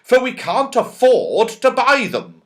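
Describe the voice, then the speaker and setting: A man's voice: very high voice, wildly swooping pitch, takes it slowly, fairly bright tone, pitched above the performer's own voice, heavily acted. A man voicing a practical, earnest father in a children's storybook, firm and emphatic.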